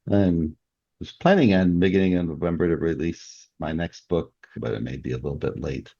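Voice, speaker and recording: A man with an extremely deep, creaky voice speaks as an author being interviewed. He explains himself casually and conversationally. The recording is dry and slightly dull. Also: moderate pace, mellow, no echo.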